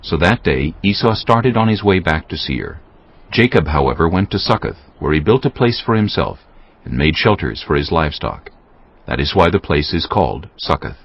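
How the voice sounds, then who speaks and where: Casting: male narrator for dramatized scripture, very low voice, expressive range, solemn and measured. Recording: dull sound, clear music bed.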